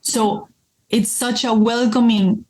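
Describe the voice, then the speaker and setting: A woman's voice: a little high, little pitch movement, slow, darkish tone, poor audio. A woman from Mexico with a Mexican Spanish accent, a podcast guest talking earnestly with frequent ums and restarts. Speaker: coffee business guest. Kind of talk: casual podcast chat.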